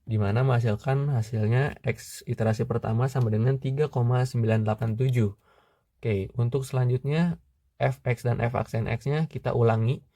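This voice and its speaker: A man's voice: mid-range voice, no room sound. A young man narrating a screen-recorded math tutorial, talking through steps methodically with frequent "eh" fillers. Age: young adult. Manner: steady, methodical, pauses with "eh" fillers. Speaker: student tutor.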